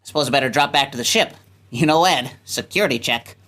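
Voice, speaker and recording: A woman's voice: very deep voice, quick pace, a bit bright. A woman playing a man, a dutiful, affectionate grown son who commands a navy rocket, in a sci-fi radio play. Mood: guarded, affectionate.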